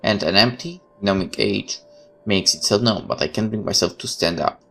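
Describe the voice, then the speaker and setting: A man's voice: fairly low pitch, normal speed, vocal fry, sharp tone. A man reading a horror visual novel aloud as a let's player, with casual commentary of his own.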